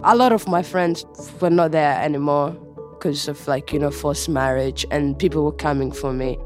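A woman's voice: low voice, normal speed, music in the background. A young woman from Somalia, a student speaking in a studio interview, telling her story casually with many fillers. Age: young adult.

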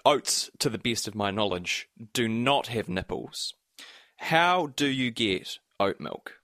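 A male podcast host with a New Zealand accent and a slightly husky voice, presenting and interviewing. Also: mid-range voice, animated, slow pace, a bit bright, dry recording, studio quality.

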